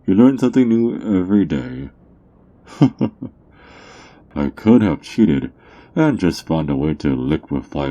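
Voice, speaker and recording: A man playing a sly, mocking underground drug dealer in an ASMR roleplay, teasing and smug, with theatrical drawn-out words. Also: extremely low pitch, warm tone, heavily acted. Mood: sly, boastful. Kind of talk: ASMR character roleplay monologue.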